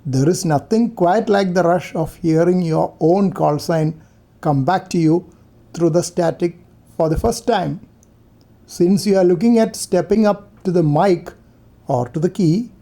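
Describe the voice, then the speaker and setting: A man narrates a scripted tutorial. His voice sits notably high for a man, yet its tone is dark and mellow. He presents the material as an instructor guiding beginners. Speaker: amateur radio instructor.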